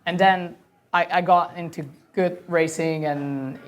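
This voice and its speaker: A woman's voice: on the low side, fairly even intonation, measured pace. A woman from Italy with an Italian accent, a sailor talking casually in an interview, laughing often and acting out what people said to her. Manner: chatty, laughs often, acts out quoted dialogue. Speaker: professional sailor guest.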